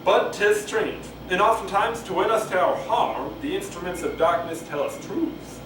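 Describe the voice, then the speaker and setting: A man doing a halting, rambling, unrehearsed read-aloud of Shakespeare, stumbling and going back over lines. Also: high voice. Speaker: amateur reader of a play. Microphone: camcorder microphone at a distance. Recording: roomy, distant camcorder mic with clear noise.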